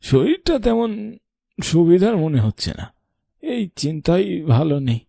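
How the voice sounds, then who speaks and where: A man voicing a protective, weary old grandfather in a cartoon, sharp-tongued but affectionate, with a creaky aged voice. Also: a little high, very animated, measured pace, no room sound, studio quality, full character voice. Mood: weary, worried. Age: older. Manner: scolding, then tender storytelling. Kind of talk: cartoon voice acting.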